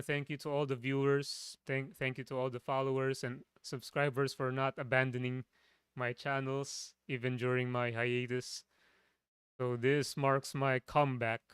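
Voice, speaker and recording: A man with a low, smooth, clear voice rambles through a casual chat, stopping and restarting his thoughts. His voice is a little tired, and it is picked up close and dry at a home computer desk. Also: fairly bright tone, dry recording. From Philippines. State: voice tiring. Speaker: streamer.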